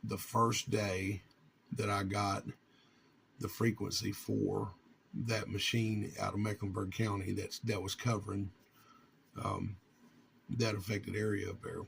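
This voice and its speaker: A man from North Carolina, talking informally to his viewers in a halting, earnest way with long pauses. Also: on the low side, flat delivery, vocal fry, a bit bright, slight background noise. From North Carolina, United States. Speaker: vlogger and amateur radio hobbyist.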